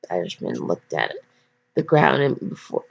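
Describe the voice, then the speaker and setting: A woman's voice: very deep voice, huge pitch swings, normal speed, vocal fry. A teenage girl casually reading aloud and rambling off on goofy, self-deprecating asides. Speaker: fan fiction reader. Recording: dull lo-fi microphone.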